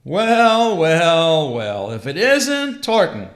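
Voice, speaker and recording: A man voicing Santa Claus, a jolly old man who talks in a hearty, drawn-out way and chuckles. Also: on the high side, sing-song, reverberant, voice pushed up, full character voice. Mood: jovial.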